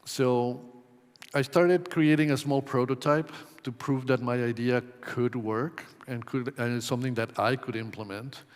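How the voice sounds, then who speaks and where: A man from Ecuador giving a conference talk in a measured way, with many ums and uhs. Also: medium pitch, fairly even intonation. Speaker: conference presenter. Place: conference hall. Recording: long hall reverb.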